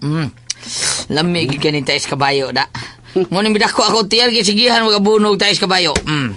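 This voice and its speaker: A man's voice: high-pitched, normal speed, sharp tone, slight background noise. A man playing a talkative, teasing, grumbling schoolboy in a comic audio drama. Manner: teasing, exclamatory, chatty.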